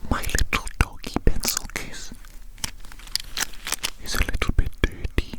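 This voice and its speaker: Woman as a whispering, politely odd alien doctor. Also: lightly acted. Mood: mildly fussing, soothing. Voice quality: breathy, unvoiced whisper. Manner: formal, gently odd, repeats phrases slowly. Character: polite, curious alien doctor posing as a human. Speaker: ASMR roleplay performer. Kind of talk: whispered close-up personal-attention ASMR roleplay. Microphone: very close to the microphone.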